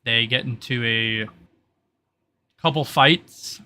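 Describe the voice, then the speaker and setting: Bright-voiced man running a tabletop game. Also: mid-range voice, takes it slowly, clean tone. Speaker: game master. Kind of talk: narrating a tabletop roleplay game.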